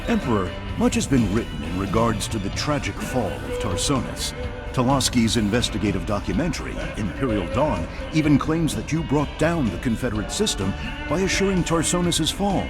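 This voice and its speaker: A man's voice: mid-range voice, sing-song, normal speed, loud music behind the voice, close to an everyday voice. A man playing a pompous, partisan TV news anchor, with a melodramatic announcer delivery in a satirical game newscast. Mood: probing. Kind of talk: satirical scripted TV news broadcast.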